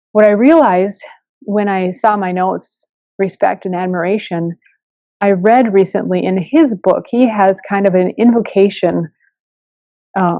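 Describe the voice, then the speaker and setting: Female podcast host, conversational delivery, mellow tone, muffled and roomy recording. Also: medium pitch. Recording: dull, echoey room sound.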